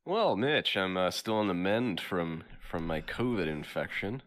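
A young man co-hosting a podcast whose voice is scratchy from illness, talking in a laid-back, halting way and laughing often. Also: sits low, animated, fairly bright tone. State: scratchy voice while recovering from illness. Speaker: podcast co-host. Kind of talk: casual comedic chat.

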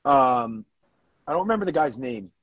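A man's voice: on the high side, very animated, normal speed, a bit bright, husky, no room sound, dull audio. An American man co-hosting a podcast in casual, bantering, profanity-laced chat. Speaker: podcast host.

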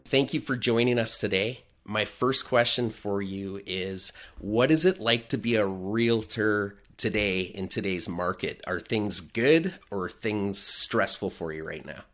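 A man's voice: fairly low pitch, measured pace, few pauses, a bit bright. A man hosting an interview, putting conversational questions to his guest. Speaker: interviewer, host.

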